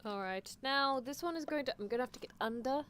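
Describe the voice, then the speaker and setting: A woman's voice: high-pitched, fast, noisy background, natural voice. A woman voicing a playful mad-scientist horse girl who teases and dotes on a test subject in live roleplay ASMR. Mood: teasing, affectionate. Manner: teasing, theatrical, doting.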